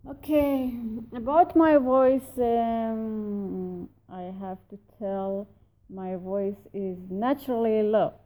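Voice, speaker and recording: A woman speaks slowly with a soft, mellow tone. She picks her words carefully and pauses often as she works through her thoughts. She sounds reflective and keeps asking questions. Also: on the high side, rough recording. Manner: halting and careful, pausing often to find words. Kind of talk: recorded spoken reply about a story.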